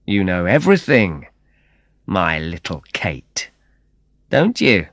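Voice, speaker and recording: A man voicing a cold, menacing male crook who drawls his threats slowly in a storybook reading. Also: low voice, big pitch swings, creaky, a bit bright, no echo, studio quality, exaggerated voice. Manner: slow, drawling and sneering.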